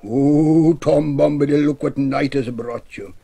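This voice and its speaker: A man voicing a menacing male barrow ghost in a poem reading, speaking slowly and gloatingly. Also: on the high side, vocal fry, warm tone, husky, heavily acted.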